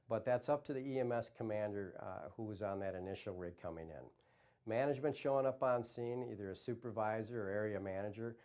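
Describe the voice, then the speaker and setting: Low-voiced American man giving instructions. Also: a little mellow. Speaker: EMS trainer. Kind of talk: instructional presentation.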